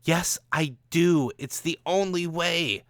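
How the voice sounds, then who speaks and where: A man's voice: on the high side, slow pace, fairly bright tone, no room sound, studio quality, pitched above the performer's own voice. Man acting out a ranting, bitter time-travelling gunman. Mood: grim. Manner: mocking, ranting.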